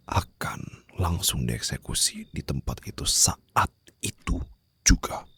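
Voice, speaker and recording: Very deep, gravelly mafia boss, acted by a man. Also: no room sound, full character voice. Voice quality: gravelly, breathy, often dropping to a whisper. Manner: slow, taunting, controlled threats. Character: menacing, condescending young male mafia boss holding a captive. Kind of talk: ASMR roleplay acting.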